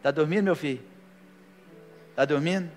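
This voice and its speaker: A fairly high-voiced man preaches in a church hall, his words trailing off into a long reverb. He builds from conversational teaching to fervent, forceful declarations, while soft music plays underneath. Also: normal speed. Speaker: pastor. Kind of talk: sermon and closing prayer. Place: church hall, live before a congregation.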